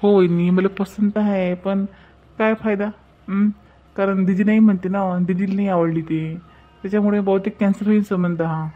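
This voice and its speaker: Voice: mid-range voice, little pitch movement, normal speed, narrow, tinny audio, lightly acted. A performer voicing a bashful, eager young man in an amateur cartoon family drama, with a Vidarbha Marathi accent. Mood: resigned. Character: bashful young man smitten with a prospective bride.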